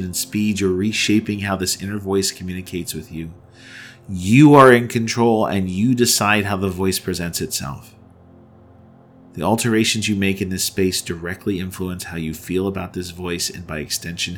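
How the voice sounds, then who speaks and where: Deep-voiced man guiding a slow meditation. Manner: slow, soothing, repeats phrases. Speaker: meditation guide. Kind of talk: guided meditation. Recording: soft background music.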